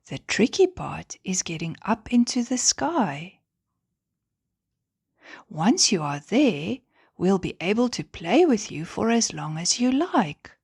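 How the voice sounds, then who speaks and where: A woman voicing a kind, playful female star who gives gentle encouragement in a children's bedtime story. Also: mid-range voice, very animated, takes it slowly, creaky, breathy edge, dry recording, studio quality, full character voice. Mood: reassuring. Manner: reassuring.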